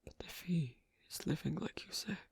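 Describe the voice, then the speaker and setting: A man playing a gentle, worried royal of a fantasy kingdom in an ASMR story roleplay, speaking in a breathy, husky whisper with halting, formal phrasing. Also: on the high side, expressive, no room sound, exaggerated voice. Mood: pained, concerned.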